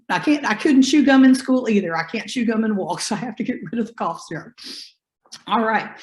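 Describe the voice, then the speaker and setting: A woman with a hoarse voice from bronchitis, teaching a live online class over a video call in a folksy, encouraging way and asking her students questions. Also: on the high side, reverberant. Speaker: online business instructor. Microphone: computer mic on a video call.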